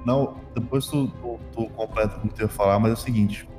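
A man's voice: fairly low pitch, steady tone, a little fast, darkish tone, soft background music. A Brazilian man running a tabletop game as game master, narrating off the cuff and often repeating words.

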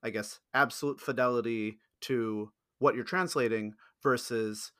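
Bright-voiced man asking questions. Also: mid-range voice, steady tone, unhurried. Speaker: podcast co-host. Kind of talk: casual podcast chat.

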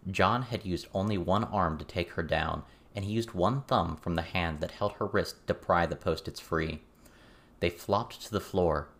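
Low-voiced man narrating fiction. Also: normal speed, a bit bright. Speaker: audiobook narrator.

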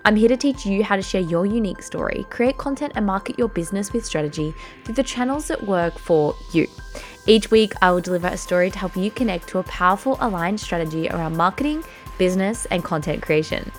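A woman's voice: mid-range voice, expressive, fairly quick, faint music behind. A woman hosting a podcast interview in an upbeat, enthusiastic way. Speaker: podcast host.

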